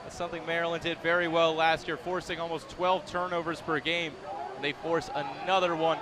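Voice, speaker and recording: A young American man calling a live lacrosse game as play-by-play announcer, with an energetic announcer's delivery. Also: on the high side, a little fast. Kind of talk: live lacrosse sports commentary. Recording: live game, loud crowd noise behind. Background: crowd and outdoor stadium ambience.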